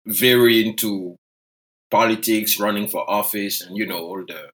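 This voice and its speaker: A man's voice: on the low side, fairly even intonation, moderate pace, a bit bright, low-quality recording. A man in his thirties from Côte d'Ivoire, a native French speaker, telling his own story as a podcast guest in a reflective, self-deprecating way. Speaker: guest economist. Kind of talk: podcast interview.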